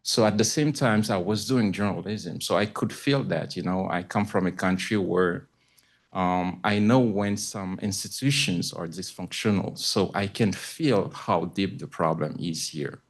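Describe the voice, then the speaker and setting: A man with a Haitian accent speaking as a panelist about his own experience, haltingly and searching for words. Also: low voice. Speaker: panelist, community news correspondent. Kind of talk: panel talk, personal account.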